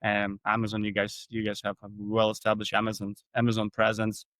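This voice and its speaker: A man's voice: on the low side, monotone, a little fast, a bit bright, dry recording. A man from Europe hosting a podcast, asking curious questions in a chatty way. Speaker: podcast host. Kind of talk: casual interview talk.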